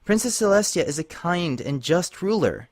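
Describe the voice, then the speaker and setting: A man plays a kind, concerned female pony in a storybook tale. The speech is soft and measured, with carefully chosen words, in a dry recording. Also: high-pitched, expressive, no echo, pitched above the performer's own voice. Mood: soothing. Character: gentle, concerned female pony soothing a friend.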